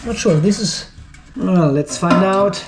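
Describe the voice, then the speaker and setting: High-pitched man reviewing in an echoey room. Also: slow, music in the background. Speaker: video host and product reviewer. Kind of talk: unscripted hands-on product review commentary.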